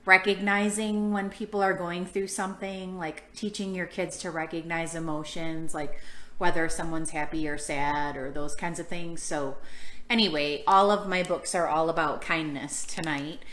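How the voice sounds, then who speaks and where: A woman hosting a children's storytime, reading a picture book aloud and chatting to young listeners with gentle questions. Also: fairly low pitch, fairly even intonation, normal speed. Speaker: children's storytime host and librarian. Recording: echoey room sound.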